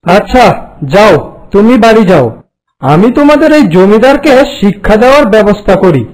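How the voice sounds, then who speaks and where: Guy doing a sneaky polite village trickster for a cartoon, really high voice, super lively, sweet-talking. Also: moderate pace, poor audio. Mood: concerned. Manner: coaxing, smooth-talking. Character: sly, polite male trickster villager helping the poor. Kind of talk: cartoon folk tale voice acting.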